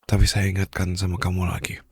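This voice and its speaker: Man acting a brooding mafia boss, very deep, husky and breathy, hushed protective ASMR roleplay, close dry mic. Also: flat delivery, no echo, heavily acted. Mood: gentle, consoling. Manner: slow, hushed, formal and soothing, drifting into whispers. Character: brooding young male mafia boss, commanding yet tenderly protective. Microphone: close studio microphone, dry.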